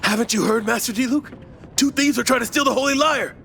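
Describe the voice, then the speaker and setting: A man voicing an excitable, trusting, dutiful city guard in an anime video game, earnest and formal. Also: extremely high pitch, quick pace, creaky, full character voice. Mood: alarmed. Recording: faint ambient noise behind.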